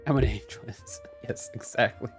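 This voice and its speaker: A man's voice: medium pitch, fairly even intonation, moderate pace. A man doing casual live commentary as a game streamer, chatty and playful. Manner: playful, chatty, mild joke swearing. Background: game music.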